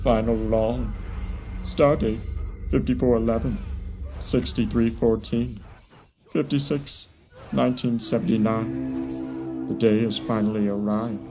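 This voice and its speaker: A man's voice: medium pitch, steady tone, a little slow, long pauses, natural voice. A man voicing a solemn alien chronicler in a grandiose, dramatic horror-story reading. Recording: music behind the voice.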